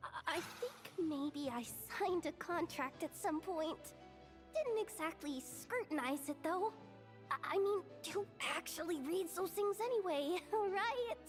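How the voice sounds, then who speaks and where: A woman voicing a hapless, excitable young hireling in a video game, reluctant and flustered, with hesitant stammering. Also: extremely high pitch, moderate pace, faint music behind. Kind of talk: English video game voice acting.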